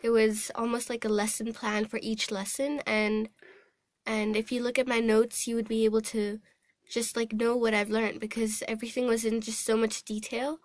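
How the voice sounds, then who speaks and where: Young female trainee from Hong Kong, higher-pitched, conversational, thoughtful with some hesitation. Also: flat delivery, normal speed, no echo, studio quality. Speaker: voice-over trainee. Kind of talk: casual interview answers. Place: recording studio.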